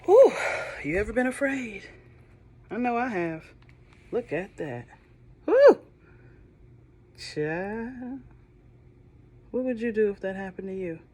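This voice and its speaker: A woman reading a children's Bible storybook aloud, with gentle, chatty, affectionate asides to the listening kids. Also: medium pitch, big pitch swings, slow pace, long pauses, breathy edge.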